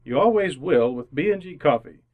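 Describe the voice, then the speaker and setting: A man's voice: fairly high pitch, animated, normal speed, narrow, tinny audio. A man announcing in old-time radio style, reading scripted sponsor spots, narration and credits. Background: music.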